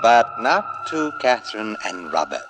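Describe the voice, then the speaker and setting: An actor playing a suave, gloating Satan who hosts a 1950s radio horror tale in a theatrical, insinuating way. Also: male voice, on the low side, very animated, unhurried, poor audio. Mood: gloating, wry. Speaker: story narrator and host. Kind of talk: 1950s radio drama acting. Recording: old radio recording, loud music behind.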